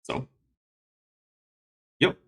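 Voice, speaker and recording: A man's voice: very slow, a bit bright. A man hosting a casual livestream question session, talking in a reflective, rambling way with many fillers and restarts, and a voice listeners call soothing. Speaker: YouTube host answering viewer questions.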